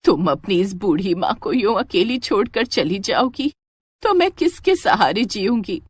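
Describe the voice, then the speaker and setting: A woman plays a frail old mother from a moral story cartoon. She sounds plaintive and emotional, with an expressive, moving pitch. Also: unhurried, husky, no echo. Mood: pleading. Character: sick, abandoned, pleading old mother.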